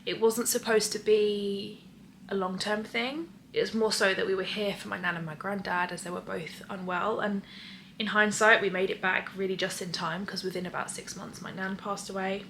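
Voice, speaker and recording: Casting: young English woman, faint Australian traces, bright voice, easy rambling vlog chat, faint room hum. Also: mid-range voice, fairly even intonation, normal speed. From England. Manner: chatty, rambling, many fillers. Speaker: vlogger. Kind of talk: casual chat, Q&A.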